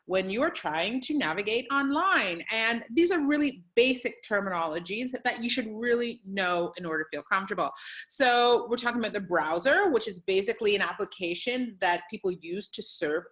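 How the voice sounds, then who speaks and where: A woman's voice: medium pitch, animated, normal speed, fairly bright tone. A woman hosting a live educational webinar, upbeat and encouraging, with frequent fillers. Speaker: webinar host and program coordinator.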